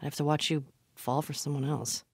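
A woman's voice: sits low, fairly even intonation, a little fast, darkish tone, breathy edge, no echo, clean studio sound. An actress playing a tough, foul-mouthed, wisecracking young woman survivor in a video game, blunt and naturalistic. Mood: casual, reflective. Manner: blunt, sweary, wry.

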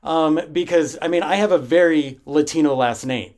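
A man's voice: fairly high pitch, normal speed, a little mellow. A man co-hosting a podcast, talking casually and animatedly and laughing often. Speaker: podcast co-host. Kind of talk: casual chat.

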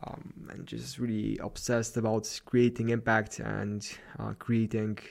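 Gravelly Slavic-accented man hosting a podcast. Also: on the low side, level tone, a little slow. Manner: loose, rambling, upbeat pep talk. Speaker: podcast host. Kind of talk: solo motivational podcast monologue. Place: apartment at night.

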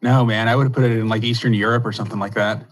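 A man's voice: on the low side, fairly even intonation, very fast. A man hosting an interview podcast, laid-back and joking with his guest. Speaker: podcast host. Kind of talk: casual interview chat.

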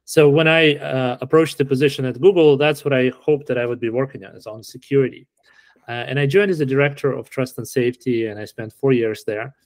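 A middle-aged man from Ukraine answering interview questions in a relaxed, explanatory way with frequent fillers. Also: medium pitch, fairly quick, no echo. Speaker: podcast guest.

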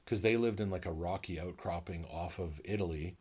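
A man's voice: low-pitched, a little fast, a little mellow, husky. A North American man co-hosting a podcast, talking casually and enthusiastically with lots of fillers. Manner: rambling, enthusiastic, heavy use of fillers. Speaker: podcast co-host. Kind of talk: casual conversation.

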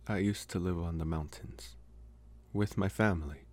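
A young man playing a socially awkward college vampire, possessive yet earnest, speaking haltingly in an intimate ASMR roleplay. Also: low-pitched. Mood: reflective, open. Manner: halting, hesitant, trying to sound intimidating.

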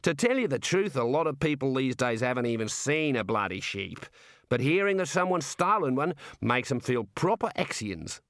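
A man's voice: mid-range voice, very animated, moderate pace, a bit bright, dry recording, clean studio sound, voice pushed up. Man voicing a cheerful prison warder. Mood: chatty, encouraging. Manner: folksy colloquial Australian-style slang. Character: cheerful, matter-of-fact male prison warder, chatty and obliging. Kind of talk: comic audiobook character voice.

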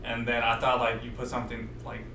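A man's voice: medium pitch, monotone, brisk, background noise. Young male guest, distant and roomy. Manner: rambling, filler-heavy explaining. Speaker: podcast guest. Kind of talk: casual storytelling chat.

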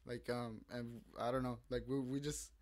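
A man's voice: mid-range voice, level tone, moderate pace, darkish tone. A young man hosting a podcast, chatting casually, rambling and swearing with lots of fillers. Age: young adult. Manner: rambling, swears a lot, many fillers and restarts. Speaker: podcast host. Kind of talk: casual chat.

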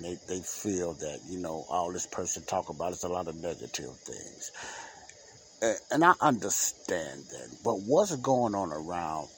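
A man's voice: deep voice, a little hiss or hum, low-quality recording. A man hosting his own unscripted podcast, rambling and repeating himself, with a congested, nasal voice. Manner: rambling, repetitive, conversational. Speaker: podcast host. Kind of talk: unscripted solo podcast talk.

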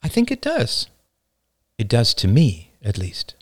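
A man voicing a gentle, earnest and inquisitive young woman with a soft, concerned manner. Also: medium pitch, sing-song, a little fast, dry recording, clean studio sound, pitched above the performer's own voice. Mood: earnest, coaxing.

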